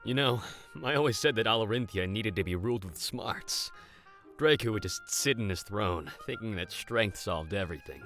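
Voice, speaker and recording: A man's voice: fairly low pitch, expressive, moderate pace, a bit bright. A man voicing a wry, shrewd male ally who speaks with knowing assurance in a visual novel. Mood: amused. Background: game music.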